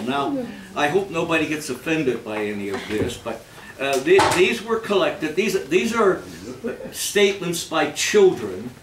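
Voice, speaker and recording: Slow-talking man hosting in an echoey hall. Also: on the high side, fairly bright tone, far from the mic. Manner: halting, repeats words, jokey. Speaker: host of a live holiday readers theater. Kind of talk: informal live stage presentation with comic readings. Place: live before an audience in an echoey room. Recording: echoey, noisy hall recorded from afar.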